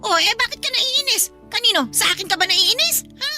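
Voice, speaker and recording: A woman's voice: extremely high pitch, expressive, brisk, sharp tone, soft background music, heavily acted. A woman playing a stern, dismissive middle-aged aunt who scolds and belittles in a Tagalog radio drama. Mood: irritated, probing.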